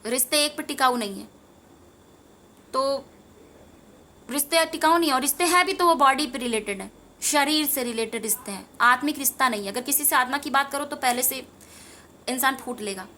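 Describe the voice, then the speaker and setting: A woman's voice: high-pitched, normal speed, pauses often, sharp tone, a little hiss or hum. A woman giving an informal spiritual talk to viewers, earnest and rambling, repeating phrases for emphasis. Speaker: tarot reader and meditation teacher.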